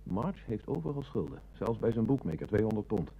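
A dutiful police detective in a radio play. His voice sits fairly low, and he reports to his superior in clipped, factual phrases. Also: sing-song, fairly quick, creaky, warm tone, highs cut off, exaggerated voice. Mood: factual, knowing. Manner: matter-of-fact, clipped reporting. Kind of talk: Dutch radio play acting.

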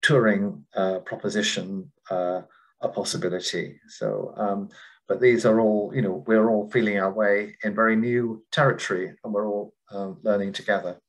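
A man's voice: lowish voice, fairly even intonation, normal speed, rough recording. A man speaking on an online panel, hesitant and full of fillers as he thinks aloud. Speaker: festival director on a panel.

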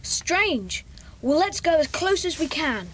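A woman's voice: sits high, sing-song, slow, noisy background, exaggerated voice. A woman playing an earnest, bossy young schoolboy gang leader in a children's audio drama. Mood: concerned.

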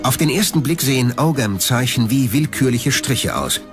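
Guy narrating a documentary, lively intonation, music under it. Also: mid-range voice, moderate pace. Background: background music.

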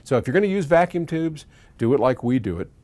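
A man's voice: mid-range voice, very animated, fast talker, darkish tone. An American man in his sixties explains things informally to camera, opinionated and conversational, in stop-and-start phrases. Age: 60s. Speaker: audio company founder answering listener questions. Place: indoors in an electronics workshop.

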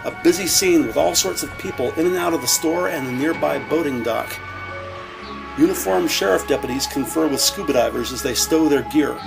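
A man narrates an audio drama in a measured, descriptive manner. His voice sits a little high and carries a marked creak. Music plays behind him. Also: rough recording.

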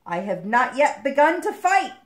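A woman's voice: medium pitch, sing-song, a little slow. A woman voicing a plucky, self-important male frog in a theatrical, grandiose manner for a storybook. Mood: jaunty, proud.

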